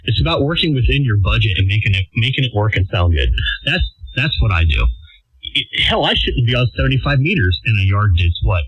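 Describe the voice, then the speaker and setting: A man's voice: lowish voice, sing-song, a little fast, husky, no room sound, dull audio. A man in a casual, rambling, self-deprecating chat as an amateur radio operator, heard over a band-limited radio transmission.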